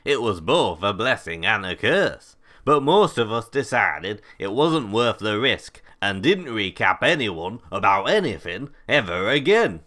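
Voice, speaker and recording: A man's voice: lowish voice, big pitch swings, unhurried, sharp tone, heavily acted. A British man playing a grumpy, long-winded older prisoner in a scripted audio comedy. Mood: rambling. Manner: blunt, exasperated, long-winded. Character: grumpy, rambling older male prisoner plotting a heist.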